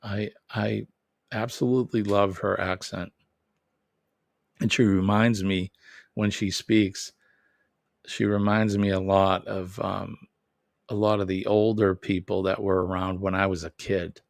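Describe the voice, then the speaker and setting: Boston guy chatting on a live stream, slow and kinda low, rambles a bit, dry close sound. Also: little pitch movement, creaky, dry recording. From New England. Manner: rambling, self-correcting, chuckles often. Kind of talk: casual live chat reading viewer comments.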